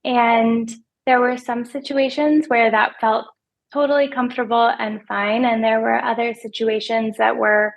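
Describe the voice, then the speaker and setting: Soft-spoken woman with a gravelly edge. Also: a little high, flat delivery, unhurried. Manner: hesitant, repeats words while thinking. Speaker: financial advisor guest. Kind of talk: conversational interview answers. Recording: podcast remote interview.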